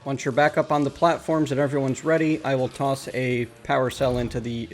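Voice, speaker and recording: A man's voice: mid-range voice, little pitch movement, fairly quick, faint music behind. A man hosting a casual multiplayer gaming chat, upbeat and explaining items to friends. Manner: upbeat, explains game items, laughs easily. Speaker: host.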